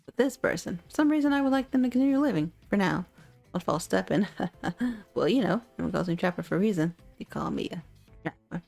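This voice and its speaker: Woman as a gravelly, brooding killer man. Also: mid-range voice, lively intonation, fairly quick, a little mellow, soft background music. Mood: grudging menace. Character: brooding, threatening male killer, a trapper.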